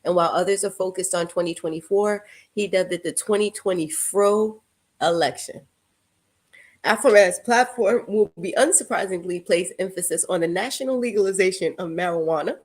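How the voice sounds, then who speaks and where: A woman with a New York accent co-hosts a morning talk show, bantering playfully and reading the news. Her voice sits a little low for a woman. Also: normal speed. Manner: laughing, playful, reads news items aloud. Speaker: co-host.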